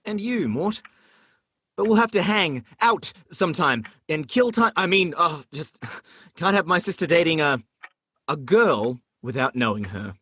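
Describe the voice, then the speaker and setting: A man's voice: a little high, very animated, unhurried, sharp tone, husky, no room sound, studio quality, heavily acted. An actor in a radio play playing a sardonic, protective brother in his twenties, theatrical and easily flustered. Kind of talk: radio play comedy acting.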